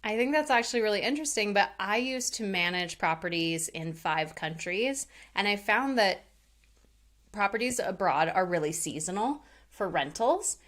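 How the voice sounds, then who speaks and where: A woman's voice: mid-range voice, lively intonation, moderate pace, a bit bright. A woman in her thirties from Hawaii, a rental investor chatting candidly and laughing easily as a podcast guest. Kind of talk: casual interview chat.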